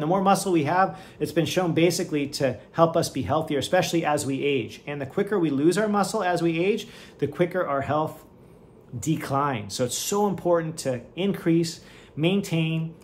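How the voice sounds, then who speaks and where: Upbeat man explaining health, fairly high voice. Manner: enthusiastic, chatty, asks "right?" often; moving around and lifting weights while talking. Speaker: podcast host, physician. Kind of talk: casual health podcast explanation. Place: home office at a standing desk.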